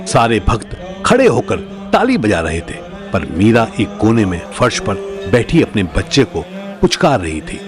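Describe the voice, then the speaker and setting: A man's voice: lowish voice, huge pitch swings, measured pace, over music. A man narrating a scripted audio drama as a storyteller, in a dramatic style.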